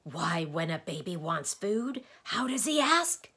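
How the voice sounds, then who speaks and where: A woman's voice: lowish voice, lively intonation, a little slow, vocal fry, husky, full character voice. A woman voicing a blustering, easily exasperated male doctor in a storybook, lecturing and quizzing emphatically. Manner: emphatic, questioning, declamatory.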